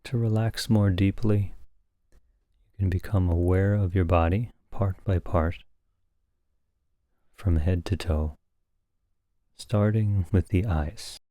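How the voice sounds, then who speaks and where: A man leading a guided meditation as a teacher, speaking calmly and gently in short phrases with long pauses. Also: low voice, no room sound, studio quality.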